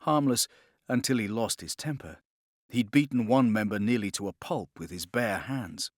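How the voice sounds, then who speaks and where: A man reading a novel as scripted dramatic narration, in a measured, expressive storytelling manner. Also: lowish voice, no room sound, clean studio sound. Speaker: audiobook narrator.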